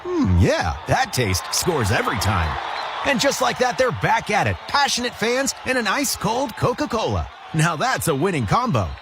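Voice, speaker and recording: A man reading an ad as excited mock sports commentary, hyped and punchy. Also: sits high, normal speed, crowd noise, noisy background.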